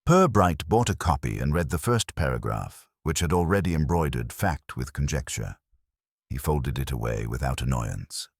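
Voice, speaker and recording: Very deep-voiced male mystery narrator. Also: dry recording, clean studio sound. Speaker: audiobook narrator. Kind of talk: scripted literary mystery narration.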